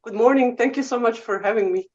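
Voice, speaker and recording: A woman's voice: fairly high pitch, fast, a little mellow. A woman from Serbia in her thirties with a Serbian accent, a guest telling her story in a casual interview, chatty and full of ums. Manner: chatty, frequent "um" and "uh", laughs easily. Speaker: guest oboe professor. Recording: slightly muffled lo-fi audio.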